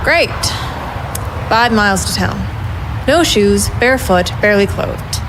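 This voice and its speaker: Over clear background ambience, a woman acts out a hardboiled female private investigator in a noir audio drama. She is wry and self-mocking, with a dry, sardonic edge and a mid-range pitch. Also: animated, a little slow, background noise, lightly acted. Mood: wry, tense. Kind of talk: first-person noir audio drama narration and dialogue.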